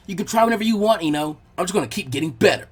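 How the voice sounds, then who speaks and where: A man voicing a brash, earnest teenage boy ninja who is excitable and easily flustered, in a story reading. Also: high-pitched, racing, slightly rough. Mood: confident. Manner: excitable, stammering when flustered.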